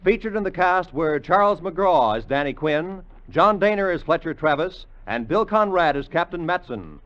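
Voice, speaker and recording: Animated American male vintage radio announcer. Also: fairly high pitch, normal speed. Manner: formal, emphatic delivery. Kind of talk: scripted 1950 radio announcing. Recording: muffled vintage radio recording, faint music.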